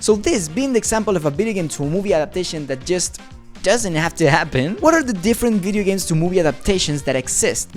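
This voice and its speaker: Lively video essayist over background music. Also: mid-range voice. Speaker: video essay host and reviewer. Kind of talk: scripted video essay with casual joking asides.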